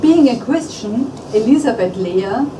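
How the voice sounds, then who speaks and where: Brief: a woman lecturer presenting research in measured, formal speech; roomy hall sound with clear background noise. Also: fairly high pitch, expressive. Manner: measured and formal, with occasional restarts. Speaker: historian giving a lecture. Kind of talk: reading a prepared academic paper aloud. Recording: echoey hall, distant mic, audible room noise.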